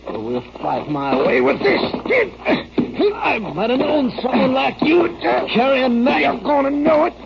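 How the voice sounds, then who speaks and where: An actor playing a menacing brawler in a knife fight in an old-time radio western. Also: male voice, extremely high pitch, big pitch swings, unhurried, gravelly, long pauses, exaggerated voice. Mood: menacing, agitated. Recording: noisy, muffled old radio recording.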